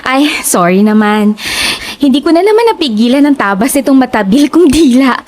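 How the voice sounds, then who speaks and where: Woman playing a high-pitched, breezy best friend. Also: animated, normal speed. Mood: breezy, caring. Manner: breezy, teasing, sharp-tongued. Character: chatty, blunt young woman, a loyal best friend. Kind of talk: audio drama dialogue.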